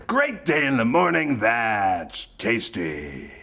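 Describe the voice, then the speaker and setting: A man announcing in a folksy, theatrical old-time variety show style, pitching sponsor products like a salesman. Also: wildly swooping pitch, slow, vocal fry, a loud crowd.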